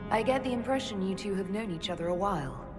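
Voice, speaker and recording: A woman playing a calm, inquisitive elf mage leader in a video game, formal and diplomatic. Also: mid-range voice, quick pace, close to an everyday voice. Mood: curious. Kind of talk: video game dialogue acting. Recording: long reverb, music behind.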